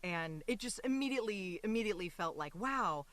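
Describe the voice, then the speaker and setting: Bright-voiced chatty woman podcast guest. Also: medium pitch, lively intonation, fairly quick, no echo. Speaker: interview guest, voice actress. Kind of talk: casual chatty podcast interview.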